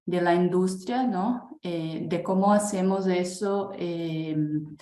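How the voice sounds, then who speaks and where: A woman's voice: fairly low pitch, slow, mellow, echoey room. A woman with a Brazilian Portuguese accent, a native Portuguese speaker, giving a webinar presentation over a video call, hesitant with many fillers and restarts. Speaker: guest speaker.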